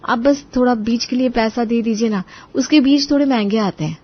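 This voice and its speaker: Woman doing a sarcastic young daughter in law, high pitched n exasperated, cartoon story, kinda lo-fi sound. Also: brisk, lightly acted. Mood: sly, coaxing. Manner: sarcastic, complaining, emphatic. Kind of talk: animated Hindi moral story dialogue.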